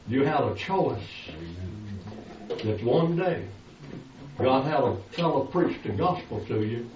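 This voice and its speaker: A man's voice: mid-range voice, slight background noise. An older man preaching a sermon, pressing his listeners with rhetorical questions. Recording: echoey, distant, dull lo-fi sound.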